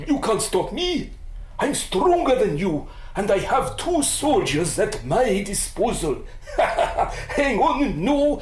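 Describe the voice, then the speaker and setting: Arrogant supernatural master played by a man, slow and swooping, imperious radio melodrama. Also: high-pitched, very animated, a little mellow, full character voice. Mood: smug, taunting. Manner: imperious, taunting. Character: arrogant, commanding supernatural villain with servants. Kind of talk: melodramatic radio drama acting. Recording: echoey room with faint noise.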